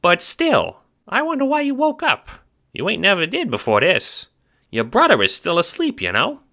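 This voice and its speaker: Brash little audio drama henchman: very high squeak, Italian-American gangster slang, swooping delivery. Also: huge pitch swings, normal speed, creaky, pushed and bright, full character voice. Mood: eager, brash. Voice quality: squeaky, chipmunk-like. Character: short clumsy squeaky wiseguy guard, brash but good-natured.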